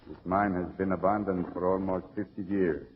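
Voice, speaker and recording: An actor playing a menacing, mock-polite masked bandit in old-time radio melodrama, on a muffled recording. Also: male voice, sits low, steady tone, moderate pace, background noise, close to an everyday voice. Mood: mock-polite menace. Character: masked bandit, suave, sadistic, menacing male villain.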